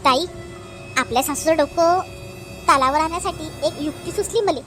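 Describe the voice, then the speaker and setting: A woman's voice: high-pitched, moderate pace, fairly bright tone, faint music behind. A woman voicing a feisty, outspoken young daughter-in-law in a family cartoon, speaking bluntly in colloquial Varhadi Marathi full of rhetorical questions. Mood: scheming. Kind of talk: cartoon family drama dialogue.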